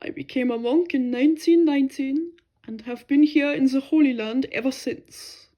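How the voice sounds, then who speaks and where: A woman voicing an elderly German monk, once a submarine officer, speaking slowly and courteously with a German accent. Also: high voice, natural voice. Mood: gentle. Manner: quiet, slow, courteous.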